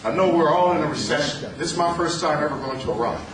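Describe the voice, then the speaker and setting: A man in his fifties with a loud voice, reciting a poem to a rally. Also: a little high, crowd noise. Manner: loud, declaiming with slow emphatic delivery. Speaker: rally speaker. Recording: echoey, distant, with clear background noise.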